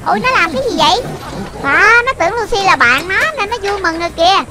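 A childlike voice giving excited running commentary on a video game for children, full of exclamations and repeated short phrases, with loud music behind it. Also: very high-pitched, quick pace.

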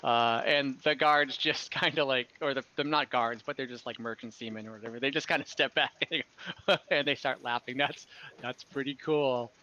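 Game master, young man, bright voice, loose rambling narration, dull call audio. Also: mid-range voice, normal speed. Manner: relaxed, rambling narration with many fillers. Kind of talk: narrating a tabletop game over video call. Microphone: headset on a video call.

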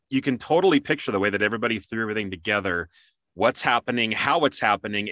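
Male interview host, bright forceful tone, dry close sound, casual and enthusiastic. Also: fairly low pitch, no echo.

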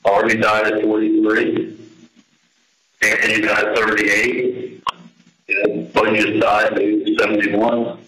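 A middle-aged man talking casually and haltingly on a recorded jail phone call, rambling as he goes. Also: on the low side, completely flat delivery, bright, forceful tone, reverberant. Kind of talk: casual private phone conversation.

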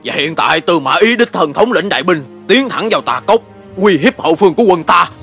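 An actor dubbing an anxious, deferential army officer in an animated historical drama, speaking formally and urgently. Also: male voice, high voice, brisk, faint music behind. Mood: respectful, tense. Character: anxious, deferential male army officer reporting to his lord.

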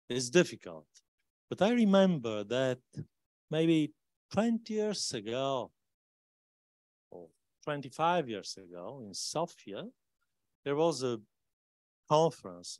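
An older man speaks slowly into a table microphone at a conference discussion. He lands heavily on key words, and his voice rises in emphatic bursts. The sound is dry, with no room echo. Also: medium pitch. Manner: emphatic, rising loud stresses on key words. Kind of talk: spontaneous academic discussion.

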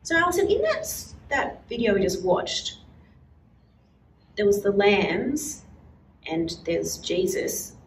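A middle-aged woman leading a children's church lesson, explaining with animated gestures in a casual teaching chat. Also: medium pitch, takes it slowly, long pauses, a little hiss or hum. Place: small indoor room, standing at a wall. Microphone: far camera. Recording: roomy sound from a distant camera.

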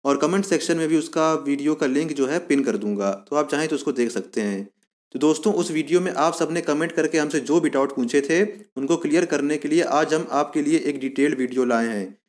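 Higher-pitched man explaining. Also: brisk, a little mellow. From India. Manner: repetitive, step-by-step explaining, addressing viewers as friends. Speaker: YouTube channel host explaining a police job. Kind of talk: informal explainer voice-over.

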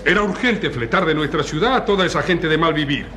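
An actor in a radio drama playing a contemptuous, prejudiced gentleman in the street. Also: fairly high pitch, a little fast, crowd noise, close to an everyday voice. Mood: scornful.

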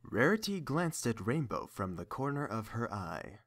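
A man's voice: mid-range voice, sing-song, normal speed, fairly bright tone. A man reading scripted third-person story narration as the narrator of an audio drama.

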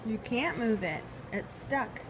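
A woman filming her toddler at an indoor mall play area and talking to the child gently in short, simple phrases. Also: fairly high pitch, lively intonation, slow. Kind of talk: child-directed talk. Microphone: phone camera held by the off-screen speaker. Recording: loud ambient mall noise.

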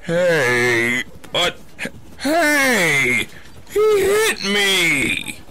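Cartoon superhero, male: dim, bombastic, high-pitched, hugely animated, unhurried delivery. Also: heavily acted. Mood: whiny. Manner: bombastic, naive, hammy. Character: dim, blithely cheerful, oversized male superhero in clumsy disguise. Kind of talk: comic-book dub, cartoonish acting.

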